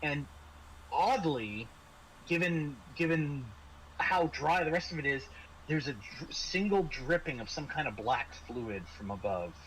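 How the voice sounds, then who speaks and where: A man narrating a tabletop roleplaying game as game master, in a halting, improvised way. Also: fairly high pitch, expressive, fairly bright tone, a little hiss or hum, low-quality recording.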